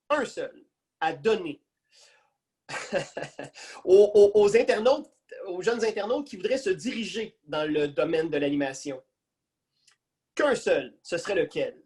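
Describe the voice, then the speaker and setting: A man's voice: sits high, darkish tone. A man with a Quebec French accent hosting an online interview in a casual conversational style. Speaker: festival host and interviewer. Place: video call.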